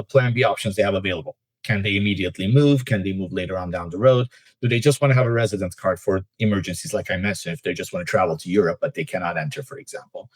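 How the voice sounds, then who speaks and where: Low-voiced man telling stories as a guest. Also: no room sound. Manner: storytelling with frequent "uh" fillers. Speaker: immigration attorney, podcast guest. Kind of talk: casual podcast interview.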